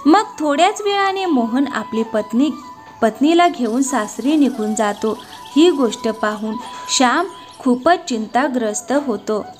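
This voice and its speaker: A woman's voice: a little high, animated, unhurried, soft background music. A woman narrator reading a scripted cartoon moral story.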